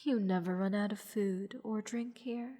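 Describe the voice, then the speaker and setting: Woman acting a slow-talking, haughty goddess, echoing hall. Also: mid-range voice, warm tone, lightly acted. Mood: coaxing, condescending. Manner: teasing, condescending, unhurried. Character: haughty, playful goddess toying with her captive mortal. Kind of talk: roleplay audio drama monologue. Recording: long hall reverb. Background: music.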